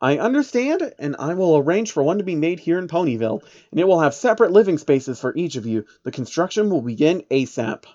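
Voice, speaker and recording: A man's voice: a little high, sing-song, brisk, few pauses, dark tone. A man voicing a composed female pony princess in a storybook reading, polite and formal, on dull audio. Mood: stately, polite.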